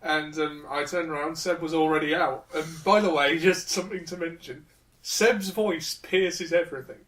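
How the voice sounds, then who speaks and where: Young british guy, highish voice, rambly comedy podcast chat, chuckles a lot. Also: fairly even intonation, normal speed, a bit bright. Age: young adult. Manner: rambling anecdotes, frequent chuckling. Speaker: podcast co-host.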